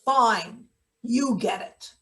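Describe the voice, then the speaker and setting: A woman's voice: fairly high pitch, extremely slow. A woman playing a sardonic, hard older forewoman in a live play reading, with a dry, wordy, sarcastic manner. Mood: sardonic, scolding. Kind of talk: live table read of a satirical play.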